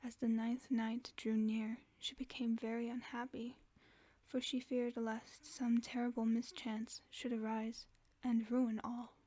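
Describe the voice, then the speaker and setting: Softly whispering woman reading a bedtime story. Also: fairly high pitch. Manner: soft, mostly unvoiced whisper. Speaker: ASMR storyteller.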